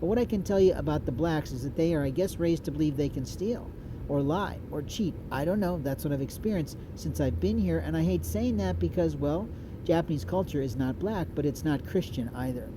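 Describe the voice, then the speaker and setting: A man's voice: fairly high pitch, lively intonation, fairly quick. An older man from the United States, a podcaster delivering a blunt, profane, repetitive rant. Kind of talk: angry ranting monologue. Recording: noisy outdoor street recording.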